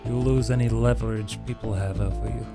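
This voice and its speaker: A man playing a blunt, literal-minded vampire fighter in a tabletop roleplay, with terse, deadpan delivery. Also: fairly low pitch, a little fast, over music, natural voice. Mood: deadpan, indignant.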